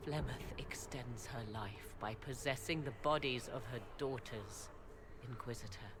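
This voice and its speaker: A woman's voice: extremely low pitch, measured pace, noisy background. A woman playing a proud, defiant sorceress and protective mother in a video game, haughty and emphatic. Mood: grave, protective. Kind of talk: dramatic video game acting.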